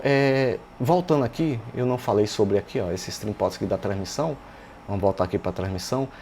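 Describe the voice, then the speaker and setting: A Brazilian man walks through technical material like an informal instructor. His voice sits somewhat low and has a very mellow, dark tone. He talks conversationally, dropping in little check-in questions, with noticeable noise behind him. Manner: conversational, often adding check-in tag questions. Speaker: electronics instructor. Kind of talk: informal technical tutorial, explaining step by step. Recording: clear background noise.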